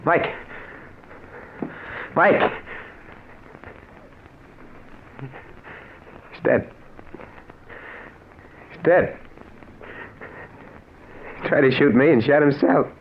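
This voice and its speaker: An actor playing a desperate, guilt-ridden American husband in an old radio melodrama, anguished and halting. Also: male voice, fairly high pitch. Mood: panicked, horrified. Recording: hissy, dull vintage radio recording.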